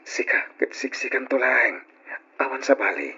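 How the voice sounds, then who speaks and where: A husky young Ilocano boyfriend sweet-talks and reassures in a coaxing, playful manner. The old radio drama recording is dull and lo-fi. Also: male voice, medium pitch, steady tone, moderate pace, bright, forceful tone, full character voice. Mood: tender, reassuring.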